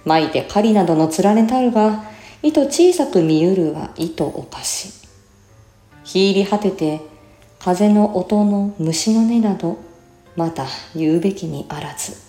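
A woman reciting a classical literary essay in a measured manner, with careful, clear enunciation. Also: mid-range voice, animated, warm tone. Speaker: reciter and voice actor. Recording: roomy reverb with faint music behind. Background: faint music.

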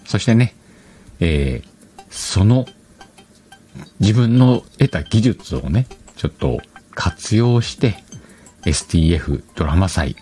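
A man's voice: low-pitched, animated, slow pace, long pauses, dark tone, faint music behind. A man hosting a casual solo podcast, chatting colloquially, rambling and correcting himself, on a dedicated microphone. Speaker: podcast host.

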